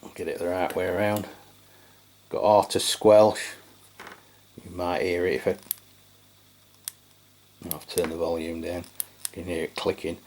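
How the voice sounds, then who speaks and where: A man with a distinctly low-pitched voice presents a hands-on review. He sounds like a channel host talking off the cuff, low-key and somewhat hesitant as he describes things piece by piece. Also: level tone, a little slow, long pauses, darkish tone. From England. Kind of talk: casual hands-on product review.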